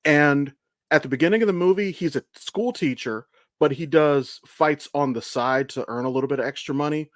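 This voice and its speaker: A man's voice: fairly high pitch, normal speed, dry recording, studio quality. A man co-hosting a podcast in casual chat, with a blunt, enthusiastic, sweary way of talking. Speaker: podcast co-host and amateur voice actor.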